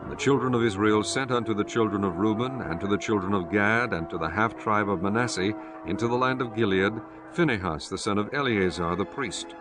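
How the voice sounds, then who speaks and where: A man narrating scripture for an audiobook in a measured, solemn way. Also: on the low side, over music.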